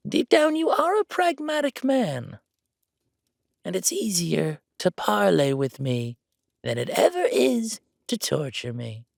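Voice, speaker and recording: High-pitched, smug count. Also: male voice, big pitch swings, gravelly, no room sound, studio quality, exaggerated voice. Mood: smug taunting. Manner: taunting, grandly self-assured, drawn-out phrasing. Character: bold, smug bookkeeper count, calculating and theatrical. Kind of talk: villain audio roleplay.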